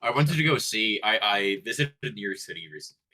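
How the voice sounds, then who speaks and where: A man's voice: on the low side, fairly quick. A man from Colombia with a light Colombian accent, chatting casually and rambling on a late-night stream while tired and chewing gum. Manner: relaxed, rambling, self-interrupting. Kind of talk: casual chat.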